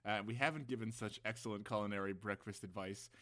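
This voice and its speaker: A man's voice: on the low side, steady tone, fast, pushed and bright. A young man co-hosting a casual podcast with playful, teasing banter. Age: young adult. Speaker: podcast co-host.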